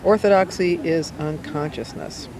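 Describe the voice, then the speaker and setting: A woman reads an essay aloud outdoors, with deliberate, emphatic phrasing and pauses. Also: sits low. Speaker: amateur reader. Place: outdoors by a cafe in town. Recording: noisy outdoor street recording, traffic sounds. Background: urban outdoor ambience, vehicles.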